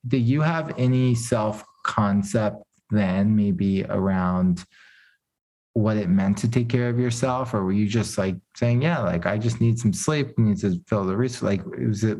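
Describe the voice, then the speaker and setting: A man hosts an interview in a slightly low, husky voice. He asks gentle, probing questions and thinks aloud, often leaning on "you know" as he goes. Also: low-quality recording. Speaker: podcast host.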